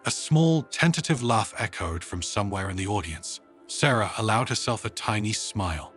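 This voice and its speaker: A man narrating a scripted story in a dramatic, expressive audiobook style. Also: fairly low pitch, normal speed, soft background music.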